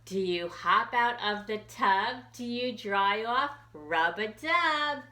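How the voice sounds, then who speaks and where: A woman children's librarian reading a picture book aloud for young children in an expressive, sing-song questioning way. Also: on the high side, slow, roomy sound. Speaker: children's librarian hosting storytime.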